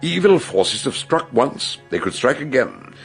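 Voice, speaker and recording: An actor playing a shrewd, courteous older British detective in a radio drama, speaking in a ponderous, theatrical way. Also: male voice, mid-range voice, sing-song, a little slow, vocal fry, breathy edge, soft background music, exaggerated voice. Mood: grave warning. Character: shrewd, grave, genial older male detective. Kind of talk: radio drama acting and narration.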